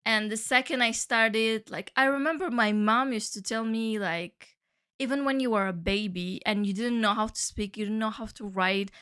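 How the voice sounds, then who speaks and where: Young woman from northern cyprus, turkish is her first language, bright voice, soft musing talk. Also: a little high, moderate pace, clear, resonant tone. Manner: soft, musing, many fillers. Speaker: musician sharing her story. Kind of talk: reflective personal monologue.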